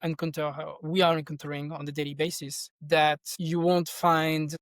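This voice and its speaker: A man's voice: fairly high pitch, flat delivery, normal speed, no echo, studio quality. A middle-aged man from France with a French accent, talking casually and thoughtfully as a guest in an interview. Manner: thoughtful, rambling, with frequent restarts. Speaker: podcast guest, tech company founder.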